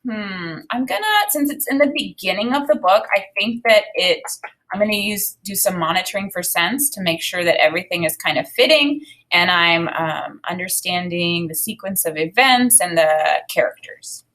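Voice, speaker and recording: A woman in her thirties teaching a read-aloud lesson, enthusiastic and expressive, thinking out loud in a conversational way. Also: lowish voice, moderate pace. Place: small home room, indoors.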